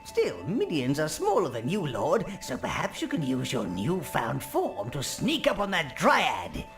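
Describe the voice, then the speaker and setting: An actor playing a wizened, fawning, gleefully evil old minion advisor in a video game, creaky and theatrical. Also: male voice, on the high side, huge pitch swings, unhurried, full character voice. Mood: conspiring, eager. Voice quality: creaky, gravelly. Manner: obsequious, theatrical servant addressing his master. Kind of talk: video game cutscene acting. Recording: game music under the voice.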